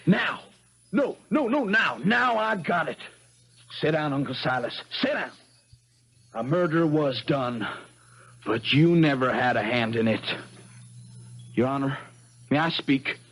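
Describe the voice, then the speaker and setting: An actor playing an earnest, dogged American country lawyer in a 1970s radio drama, husky and folksy, with dramatic insistence. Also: male voice, a little high, lively intonation, a little slow, pauses often, fairly bright tone, full character voice. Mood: triumphant, insistent.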